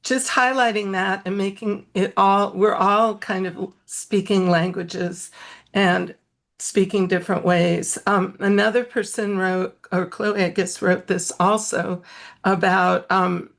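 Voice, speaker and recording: A woman's voice: medium pitch, steady tone, takes it slowly, creaky. A woman talking reflectively and loosely as a panelist in an online discussion over a video call.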